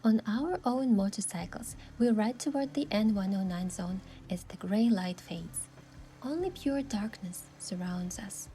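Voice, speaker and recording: A woman playing a gentle, thoughtful young heroine, narrating her game story softly and in measured scripted first person. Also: mid-range voice, soft background music, close to an everyday voice. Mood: reflective.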